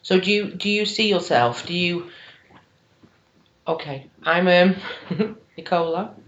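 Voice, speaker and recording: A woman's voice: fairly low pitch, takes it slowly, fairly bright tone, a little hiss or hum. A woman in her forties talking emphatically in a casual group podcast discussion. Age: 40s. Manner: emphatic, agreeing. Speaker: podcast participant. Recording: roomy, dull lo-fi recording.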